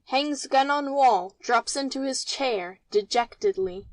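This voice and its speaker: A woman's voice: sits high, takes it slowly, no room sound, rough recording. A woman narrating, reading stage directions from a script.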